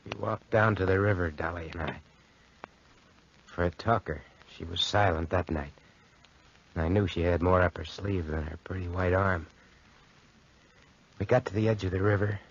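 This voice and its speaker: A man's voice: low-pitched, moderate pace, long pauses, a little hiss or hum. An actor playing a cynical, callous young schemer in a 1940s radio drama, with slangy American tough-guy talk. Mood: brooding narration. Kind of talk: 1940s radio drama acting with first-person narration. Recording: muffled, band-limited 1940s radio recording.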